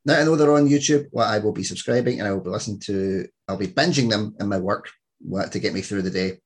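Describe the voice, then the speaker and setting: A man's voice: lowish voice, fast talker, husky. Rambling Scottish man hosting a podcast. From Scotland. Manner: rambling, many restarts and "what" fillers. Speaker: podcast host. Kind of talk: casual interview chat.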